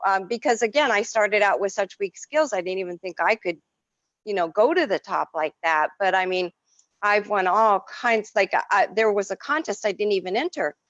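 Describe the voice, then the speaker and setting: A woman whose first language is French, an artist telling stories in an effusive, expressive way as an interview guest. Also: medium pitch, no echo, muffled recording. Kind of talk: casual interview.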